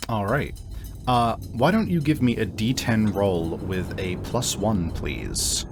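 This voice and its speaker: A man with a fairly low voice runs a tabletop roleplaying game over background music. He improvises his descriptions in a halting, wordy way, explaining as he goes. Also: sing-song. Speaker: game master.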